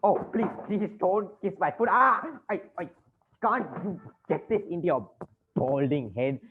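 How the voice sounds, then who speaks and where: A man's voice: a little high, very animated, measured pace. A flustered bank manager in a stage farce, with a widely swooping voice. He is fussy, over-polite and exasperated, on a thin, tinny recording with faint noise. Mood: frantic, outraged. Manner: stammering, fussy, over-polite turning frantic. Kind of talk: comic stage-play acting. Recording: tinny band-limited audio, faint noise.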